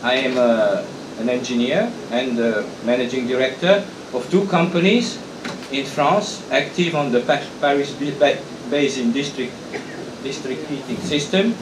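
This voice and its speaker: A man's voice: medium pitch, background noise. Man presenting in an echoey hall. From Romania. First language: Hungarian. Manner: halting, frequent restarts and fillers. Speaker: conference speaker, association president. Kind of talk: conference presentation. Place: conference hall.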